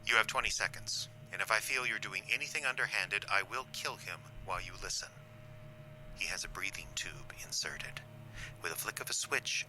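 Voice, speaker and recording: An actor playing a coolly menacing, condescending alien invader in an audio drama, speaking in a measured, threatening way. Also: male voice, deep voice, bright, forceful tone, a little hiss or hum, low-quality recording.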